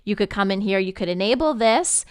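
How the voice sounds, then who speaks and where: A woman with a smooth, clear voice talks listeners through a software walkthrough. Her delivery is conversational and easygoing, explaining each step informally, and the sound is dry and close with very little room. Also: mid-range voice, animated, brisk, no echo. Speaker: podcast network representative giving a software tutorial. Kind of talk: informal screen-share tutorial walkthrough.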